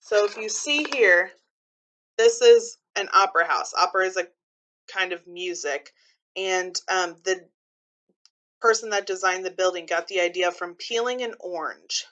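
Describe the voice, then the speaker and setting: Bright-voiced woman reading aloud to children. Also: mid-range voice, unhurried. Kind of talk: read-aloud with simple explanations for children.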